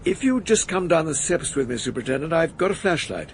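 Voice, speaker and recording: Animated, gravelly English general. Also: male voice, fairly high pitch, quick pace, a little hiss or hum. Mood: courteous, brisk. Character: brisk, decent English military general in authority. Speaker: deputy governor. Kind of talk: British radio drama acting.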